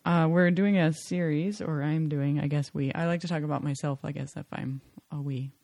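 A woman's voice: low-pitched, steady tone, normal speed, creaky, warm tone, dry recording. A woman hosting an interview podcast, chatting casually, rambling and correcting herself as she goes. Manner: rambling, self-correcting, laughing along. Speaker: podcast host.

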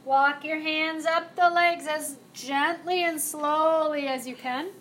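Slow, soothing woman yoga teacher. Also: sits high, steady tone, a bit bright, slight background noise. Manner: slow, soothing cueing with breath prompts. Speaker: yoga and mindfulness instructor. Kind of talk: guided chair yoga instruction. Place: classroom.

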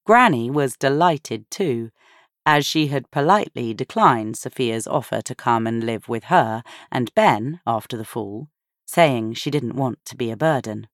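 A woman narrating a novel in a measured, expressive storytelling manner. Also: rumbling low, no echo, clean studio sound. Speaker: audiobook narrator.

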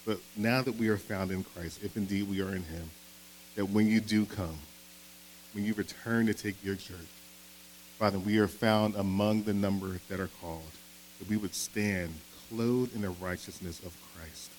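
Earnest pastor preaching. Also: male voice, on the low side, fairly even intonation, moderate pace, a little hiss or hum, low-quality recording. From Philadelphia. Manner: earnest, conversational, with frequent tag questions. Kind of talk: sermon with opening prayer.

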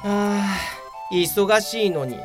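A woman's voice: mid-range voice, very slow, loud music behind the voice. A woman playing a young male office worker in an audio drama, self-assured and grumbling. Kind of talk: Japanese audio drama acting.

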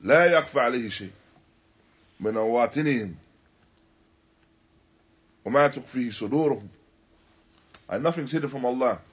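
A man with a slightly husky, mid-pitched voice delivers a religious lesson. He speaks deliberately and with emphasis, reading from a text and explaining it, often repeating a phrase in other words. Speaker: religious teacher giving a lesson. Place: Islamic centre, live stream.

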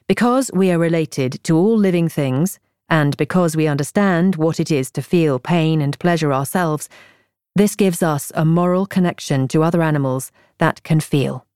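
A woman reading a nonfiction audiobook in a measured, articulate way, as a scripted narrator. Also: sits low, a little mellow, clean tone, no room sound, clean studio sound.

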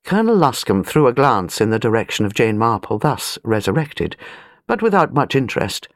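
A woman's voice: a bass voice, sing-song, moderate pace, dry recording, studio quality. A British woman reading a novel as an audiobook narrator, precise and wry. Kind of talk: scripted literary narration.

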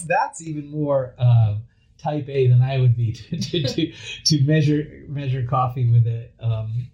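A man's voice: medium pitch, unhurried, warm tone, dull audio. A man co-hosting a food radio show, chatting casually in a kitchen and explaining things in a hesitant, roundabout way. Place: indoors in a kitchen.

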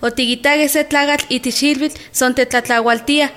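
A woman's voice: sits high, steady tone, moderate pace, a bit bright. An actress playing a village woman at a health meeting in a scripted radio drama. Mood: questioning.

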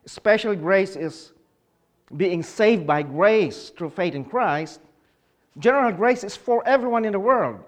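A man's voice: sits high, lively intonation, a little slow. Filipino-born man giving a church talk. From Philippines. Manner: explanatory, asks the audience questions. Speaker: conference speaker. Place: conference hall.

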